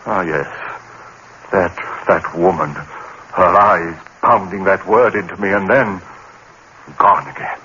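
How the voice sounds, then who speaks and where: A man narrating a radio horror drama in the first person, frantic and haunted, with sudden jumps into high pitch. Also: slow pace, pauses often, pushed and bright. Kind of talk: 1930s radio horror acting. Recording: dull old radio broadcast with faint hiss.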